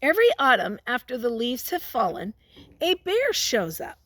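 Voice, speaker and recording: A woman's voice: a little high, wildly swooping pitch, fairly bright tone. A woman teacher reading a picture book aloud to young children, stopping to ask them questions and prompt them. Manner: pauses to question and prompt listeners.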